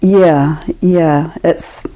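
Mellow, low-voiced New Zealand woman. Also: fairly even intonation, drawn-out words, rough recording. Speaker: author and poet, interview guest. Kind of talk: poetry reading and casual chat. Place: at home, indoors.

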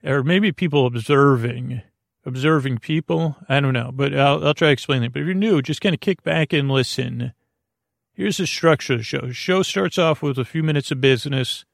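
A man hosting a bedtime podcast in a creaky voice, meandering and halting as he loses his thread and circles back. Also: mid-range voice, dry recording, studio quality. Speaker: podcast host. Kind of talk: rambling bedtime podcast monologue.

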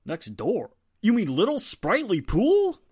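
A man's voice: sits high, wildly swooping pitch, measured pace, a little mellow, slightly rough, heavily acted. A man acting a jovial, teasing, blustery small-town 1940s husband in a radio drama, jocular and full of exclamations.

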